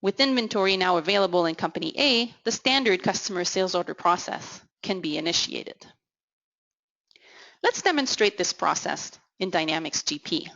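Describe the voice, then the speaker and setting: A woman giving an instructional software walkthrough as a consultant, in a measured, explanatory way. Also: on the low side, animated, creaky. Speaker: technical consultant presenting a software demo. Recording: dull, lo-fi audio. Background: voice-over for a screen recording.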